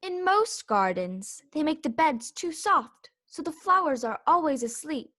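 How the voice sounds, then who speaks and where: A haughty tiger lily acted by a woman bosses everyone around in a children's storybook play. Her voice is high and swings widely in pitch, imperious and scolding. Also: sing-song, measured pace, a bit bright, heavily acted. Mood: haughty, lecturing. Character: bossy, haughty female talking Tiger Lily flower. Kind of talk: children's readers theater read-aloud.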